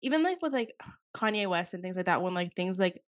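A woman's voice: fairly low pitch, lively intonation, a little fast, no room sound, studio quality. Rambling young woman, slightly sick. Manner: rambling, says "like" constantly, swears casually. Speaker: podcast co-host. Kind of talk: casual chat.

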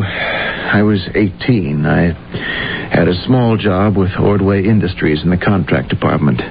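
An actor playing an uneasy middle-aged former clerk in an old-time radio drama, telling his account in a hesitant, earnest way. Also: lowish voice. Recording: muffled, noisy old radio recording.

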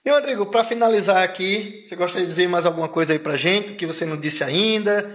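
High-voiced Brazilian host in an echoey room. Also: male voice, quick pace, a bit bright. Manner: agreeable, chiming in with short replies. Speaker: podcast host. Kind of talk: casual interview chat. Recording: echoey room, dull lo-fi sound.

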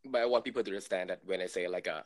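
A man in his late twenties, from Thailand, co-hosting a podcast in relaxed chat full of fillers and laughs. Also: low-pitched, racing. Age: 20s. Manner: loose, laughing, lots of fillers. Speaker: podcast co-host. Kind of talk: casual chat.

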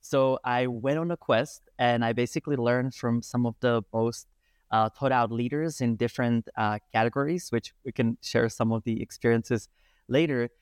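A man, a guest telling his life story in animated talk full of hesitations. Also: medium pitch, moderate pace, clean tone, no echo, studio quality. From Czech Republic. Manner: animated, hesitant with many fillers. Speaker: guest, breathwork and movement teacher. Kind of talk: casual storytelling interview.

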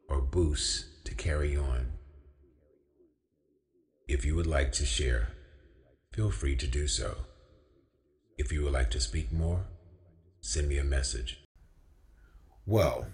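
A young man delivering an inspirational spoken-word monologue as a storyteller, with deliberate, measured phrasing and emphatic pauses. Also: rumbling low, a little mellow. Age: young adult. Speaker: featured storyteller. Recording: long hall-like reverb on the voice.

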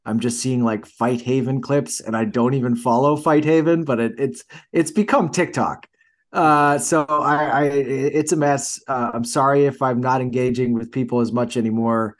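A man's voice: medium pitch, fairly even intonation, moderate pace. A man co-hosting a podcast in casual chat, talking in a hesitant, rambling way. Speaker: podcast co-host.

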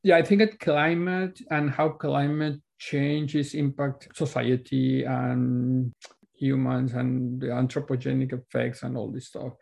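A Mexican man, a native Spanish speaker, talks as an interview guest with a noticeable creak in his mid-pitched voice. His phrasing is informal and loose, as in a casual conversation about student life. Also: little pitch movement, a little slow, darkish tone. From Mexico City, Mexico.

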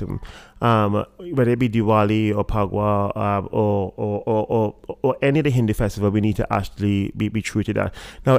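A Trinidadian man with a low voice full of gravelly fry. He talks in a loose, unhurried interview style and keeps stopping to search for words. His lower register adds to the relaxed feel. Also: no room sound, clean studio sound. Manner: hesitant, many fillers and restarts. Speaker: guest. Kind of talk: casual interview talk.

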